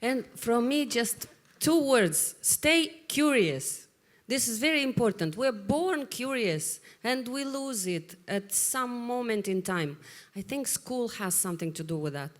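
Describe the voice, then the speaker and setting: A woman's voice: on the high side, lively intonation, unhurried. A woman moderating a live startup panel, speaking in an upbeat, conversational way. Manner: upbeat, conversational, wraps up the session.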